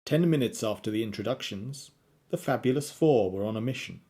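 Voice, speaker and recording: A man's voice: on the low side, animated, a little fast, mellow. A man playing a wry storyteller, narrating an adventure in an audio drama.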